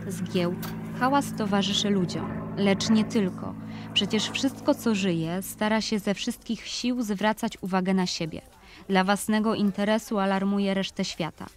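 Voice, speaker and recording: A woman narrating scripted literary prose for an audio play in a composed, clearly phrased storytelling manner. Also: medium pitch, a little slow, slight background noise.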